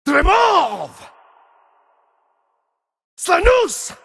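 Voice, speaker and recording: Reptilian warrior, clipped battle shouts, wildly swooping pitch, gravelly edge, game character. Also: male voice, very animated, very slow, exaggerated voice. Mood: fierce, shouting. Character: fierce male Argonian lizard-man warrior shouting magic words.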